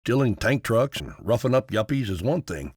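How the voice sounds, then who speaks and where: A man's voice: mid-range voice, sing-song, normal speed, darkish tone, breathy edge, dry recording, clean studio sound. An actor playing a wary, grumbling male ranch hand in a video game, with a drawl. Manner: grumbling, world-weary.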